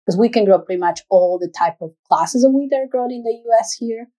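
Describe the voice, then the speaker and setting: A woman's voice: fairly high pitch, fairly quick, mellow. A woman from Ecuador with an Ecuadorian Spanish accent, answering conversationally as an interview guest. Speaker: interview guest, executive director.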